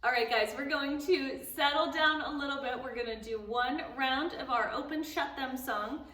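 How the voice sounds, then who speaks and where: A woman hosting a preschool storytime, encouraging and animated with the children. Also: sits high, moderate pace, roomy sound, recorded from a distance, rough recording.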